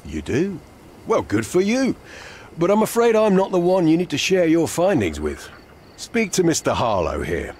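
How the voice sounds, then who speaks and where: An actor playing a weary, cynical older police inspector in a game, dry and sardonic. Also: male voice, a little high, wildly swooping pitch, normal speed, darkish tone, slight background noise. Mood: dry, dismissive. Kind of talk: dramatic game cutscene dialogue. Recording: faint night insect ambience behind.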